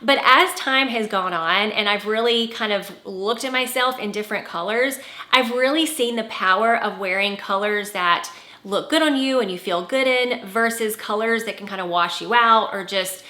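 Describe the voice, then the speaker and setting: Brief: candid style vlogger woman, 40s, bright tone, roomy room sound. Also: mid-range voice. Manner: chatty, candid, frequent "like" fillers. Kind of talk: casual talk to camera. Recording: roomy echo.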